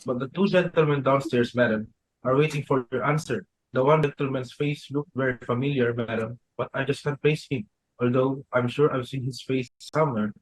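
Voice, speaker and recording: Formal butler, read by a man, husky voice, dry close sound, deferential and measured. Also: medium pitch, steady tone, dry recording, lightly acted, low-quality recording. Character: polite butler Dunham, adult man. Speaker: student reader. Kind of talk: student dramatic reading.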